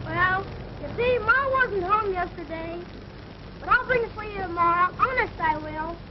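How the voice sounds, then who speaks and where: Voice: very high voice, expressive, moderate pace. A performer playing an eager, obedient young helper in a 1930s film comedy. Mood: pleading. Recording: dull, noisy 1930s film soundtrack.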